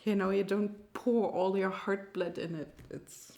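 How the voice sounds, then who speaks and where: A woman's voice: mid-range voice, steady tone, measured pace, creaky, darkish tone. A woman, an amateur writer, chatting casually about her own writing and often laughing as she talks. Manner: giggly, laughs often while talking.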